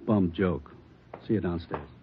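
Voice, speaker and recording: A man's voice: sits low, unhurried. An actor playing a dry, practical bomb squad policeman, terse and matter-of-fact, in a 1940s radio drama. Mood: businesslike. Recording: tinny, noisy old radio recording.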